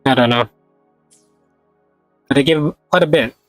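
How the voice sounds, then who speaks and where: A man's voice: mid-range voice, measured pace, no room sound, faint music behind. A man giving casual, rambling live commentary as he streams a game, repeating himself and swearing mildly, with a slightly sore throat.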